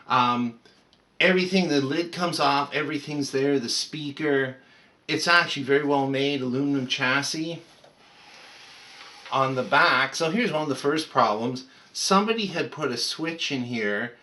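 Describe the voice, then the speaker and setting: A man with a bright, forward voice at a middling pitch gives a casual, unscripted repair walkthrough. He talks like a hobbyist, rambling conversationally from one step to the next.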